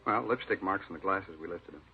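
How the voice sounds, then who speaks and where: An actor playing a laconic, methodical police lab sergeant in a 1950s radio drama, husky and understated, in short clipped phrases. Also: male voice, low-pitched, fairly quick, heavily acted. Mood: matter-of-fact. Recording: dull old-time radio recording.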